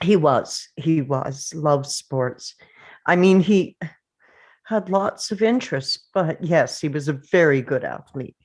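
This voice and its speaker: A woman acts a bereaved mother in a drama read-through. She talks very slowly in a lowish voice, nostalgic and composed, halting as in everyday conversation. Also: animated, a little mellow, full character voice. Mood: wistful. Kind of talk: table read of a drama script.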